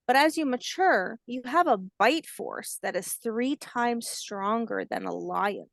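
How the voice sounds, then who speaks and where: A woman voicing a kindly, patient old grandmother alligator, telling facts in a gentle storybook manner. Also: on the high side, expressive, unhurried, creaky, no room sound, studio quality. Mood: proud, instructive. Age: older.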